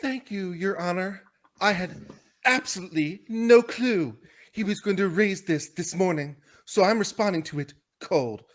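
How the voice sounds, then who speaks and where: A woman's voice: mid-range voice, normal speed, close to an everyday voice. A woman doing a dramatic reading as a defensive trial lawyer, deferential, formal and a little flustered as she protests. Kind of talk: dramatic reading of a court transcript.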